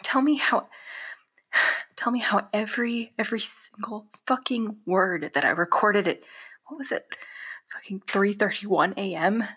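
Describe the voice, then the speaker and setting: A woman's voice: medium pitch, measured pace, a bit bright, breathy edge. An actress playing a frightened young woman who whispers and swears as she pieces together a recording she can't remember. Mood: panicked. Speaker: young woman haunted by supernatural forces.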